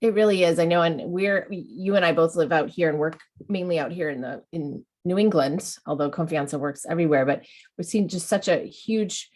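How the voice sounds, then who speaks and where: Low-voiced woman hosting an education webinar. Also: moderate pace, darkish tone. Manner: encouraging, talkative, restarting her sentences. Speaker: webinar host.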